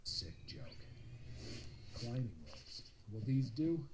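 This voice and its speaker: A man's voice: medium pitch, big pitch swings, slow, darkish tone, slight background noise. A man acting a working character in a science-fiction fan audio drama. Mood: urgent.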